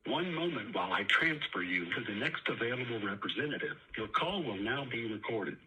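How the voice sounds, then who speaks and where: A man reading a scripted, formal automated phone menu announcement with measured enunciation. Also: mid-range voice, lively intonation, sharp tone, low-quality recording. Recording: phone menu recording with faint noise.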